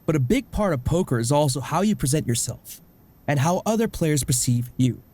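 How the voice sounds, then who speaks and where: A man playing a cheerful, easygoing middle-aged dad in a webcomic dub, jovial and expressive. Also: on the high side, fairly quick. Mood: encouraging. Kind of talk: webcomic fan dub acting.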